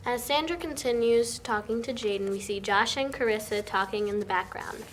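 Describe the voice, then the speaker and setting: Higher-voiced woman reading stage directions, noisy recording. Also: moderate pace. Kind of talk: scripted narration at a table read. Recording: noticeable background noise, amateur room recording.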